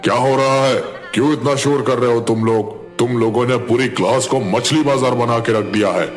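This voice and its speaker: A man's voice: mid-range voice, moderate pace, soft background music, close to an everyday voice. A man playing a stern, authoritative schoolteacher who admonishes his class in a cartoon. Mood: scolding. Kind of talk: Hindi cartoon dialogue.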